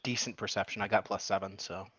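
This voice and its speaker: A man's voice: on the low side, fairly even intonation, fast talker, a bit bright. Male player, hesitant casual talk, a bit lo-fi. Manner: stumbling, unsure.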